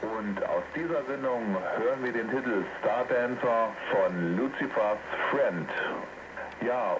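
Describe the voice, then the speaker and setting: A man from Germany hosting a hobby radio show, making announcements, heard over shortwave radio. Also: fairly low pitch. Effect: shortwave radio broadcast. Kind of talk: radio show sign-off and thank-you announcements. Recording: noisy shortwave radio reception with static. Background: radio static and hiss.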